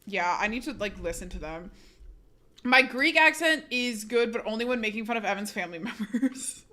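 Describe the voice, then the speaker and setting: A woman's voice: a little high, expressive, moderate pace, sharp tone. A woman livestreamer chatting casually with her audience, chatty and self-deprecating, often laughing and reading chat aloud.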